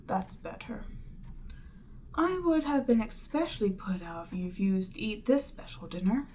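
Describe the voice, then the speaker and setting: A woman voicing a proud, seductive demon queen in a scripted ASMR roleplay, haughty and measured, lapsing into breathy near-whispers. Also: medium pitch, sing-song, a little mellow, a little hiss or hum, close to an everyday voice. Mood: coolly persuasive.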